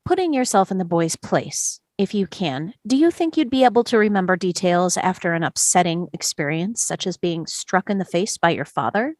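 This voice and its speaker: A woman in a drama table read playing a calm, persistent male juror, courteous and measured as the questioning goes on. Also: fairly low pitch, no echo, clean studio sound, lightly acted. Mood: calm, probing.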